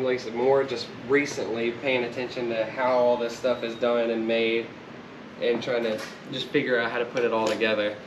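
A young man answers haltingly and modestly, leaning on fillers. He sounds a way off the mic in a roomy space. The sound is a bit dull, with air conditioning humming behind. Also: medium pitch. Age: young adult. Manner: hesitant, filler-heavy, modest. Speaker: upholstery worker. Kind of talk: casual interview answer. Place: large indoor workshop. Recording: distant, dull, echoey with air conditioning hum.

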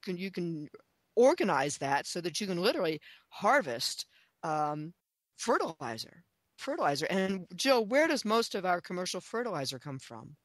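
A woman's voice: fairly low pitch, sing-song, moderate pace, dry recording. Emphatic woman, very dry close sound. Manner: emphatic, repeats phrases, poses questions to the listener. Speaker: guest, author and chicken expert. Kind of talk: enthusiastic interview talk.

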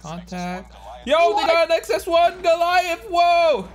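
A man who is a native Cantonese speaker, streaming a game with excitable, slangy, teasing banter. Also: very high voice, slow pace. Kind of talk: live gaming commentary, casual banter. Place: indoor streaming room, seen on facecam.

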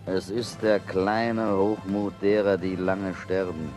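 An actor playing a proud, bitter leper dying alone, with a sardonic, halting delivery in a radio play. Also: male voice, low-pitched, fairly even intonation. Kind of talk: radio drama acting. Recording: radio play with music underneath.

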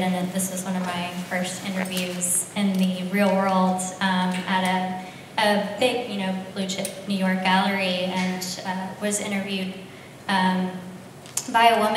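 A woman's voice: mid-range voice, noisy background. A young woman on a panel telling a personal story earnestly with frequent fillers, holding a handheld mic in a large brick-walled gallery. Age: young adult. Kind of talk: panel discussion. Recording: echoey hall from far off.

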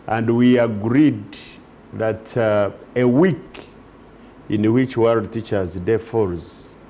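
A man speaks as a union leader on a studio talk show, picked up on a lapel mic. He talks very slowly in a formal, measured way with a somewhat low voice. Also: steady tone, warm tone, slight background noise. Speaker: teachers union general secretary. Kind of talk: TV studio interview. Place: TV studio set.

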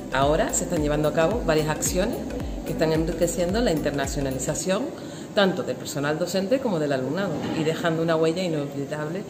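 A woman in her forties, a teacher, giving a measured, scripted presentation. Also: low-pitched. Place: outdoors among plants. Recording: echoey sound with loud music.

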